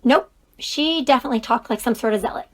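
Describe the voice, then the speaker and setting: A woman's voice: a little high, fast talker, lightly acted. An actress playing an earnest, anxious woman college professor in an audio drama, often sounding protesting. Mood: disapproving.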